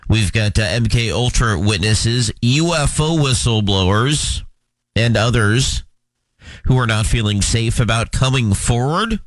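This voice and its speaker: A man hosting a talk show, giving news updates and introducing a guest, speaking in a measured way with frequent fillers. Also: mid-range voice, expressive, no echo. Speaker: host. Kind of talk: talk show commentary and interview.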